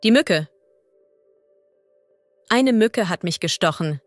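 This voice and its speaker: A woman as a language teacher reading idioms and vocabulary slowly and clearly. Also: medium pitch, lively intonation, dry recording, faint music behind. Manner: slow, clear and deliberate.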